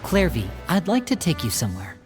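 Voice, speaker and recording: An actor playing a composed, protective young magician in a video game, speaking in an earnest, reassuring way. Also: male voice, fairly high pitch, wildly swooping pitch, a little fast, music in the background. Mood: resolved, hopeful. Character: young man stage magician, protective older brother, composed leader.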